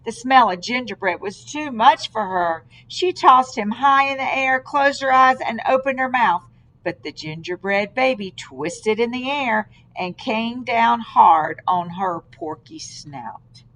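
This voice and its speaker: A woman reading a children's story aloud in an expressive way, with dramatic, deliberate phrasing. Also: a little high, low-quality recording. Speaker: storybook reader.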